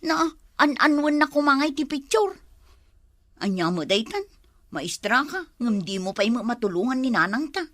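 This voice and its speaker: A woman's voice: a little high, very animated, moderate pace, a bit bright, no room sound, studio quality. A woman with an Ilocano accent playing a nagging, complaining wife in a village comedy drama.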